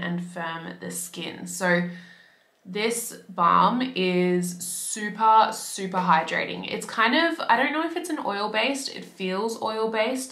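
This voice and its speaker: Young woman beauty vlogger from Australia, chatty and upbeat, a touch raspy from a cold, bright voice in an echoey room. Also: mid-range voice. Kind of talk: casual talk to camera. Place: bedroom by a window.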